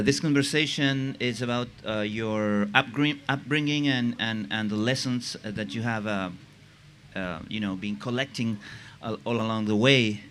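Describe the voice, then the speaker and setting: A man interviewing a guest on stage, asking questions haltingly with many restarts and fillers. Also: fairly low pitch, a little hiss or hum.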